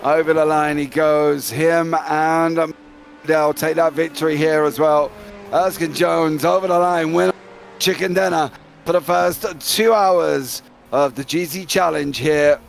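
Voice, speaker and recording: British male race host, raised gravelly voice, quick excitable play-by-play. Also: on the high side, slight background noise. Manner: excitable play-by-play, calling names fast. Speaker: race commentator. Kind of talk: live sim racing commentary.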